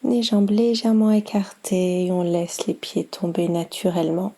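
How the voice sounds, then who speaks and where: A woman guiding a yoga nidra meditation in a soft, soothing, unhurried manner with long pauses. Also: on the low side. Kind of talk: guided meditation.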